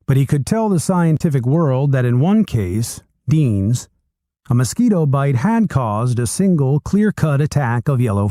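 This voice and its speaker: Lively, mellow-voiced male book reader. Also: mid-range voice, no echo, clean studio sound. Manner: expressive storytelling delivery. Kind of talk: reading a nonfiction book aloud.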